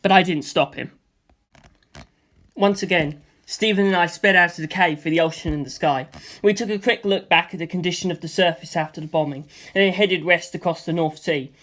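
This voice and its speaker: A reader narrating an adventure story in the first person, in a steady, plain storytelling manner. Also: medium pitch, brisk. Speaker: audiobook reader.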